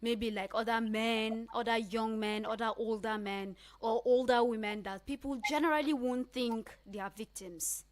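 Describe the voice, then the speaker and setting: A woman's voice: fairly high pitch, fairly even intonation, moderate pace, hardly any pauses. Nigerian woman hosting a radio interview. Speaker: radio host interviewing a guest.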